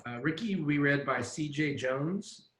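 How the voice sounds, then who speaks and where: Bright-voiced man in an echoey room. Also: a little high, unhurried. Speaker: festival director introducing a cast. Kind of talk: casual scripted introduction. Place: indoors on a web call. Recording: roomy, echoey web call.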